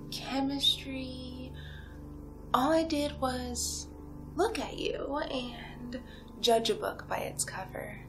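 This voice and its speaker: A woman playing a playful, lonely, possessive young villainess kidnapper in an ASMR roleplay, murmuring coyly and slipping into breathy whispers. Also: sits high. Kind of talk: ASMR roleplay, soft talk and whispering to the listener.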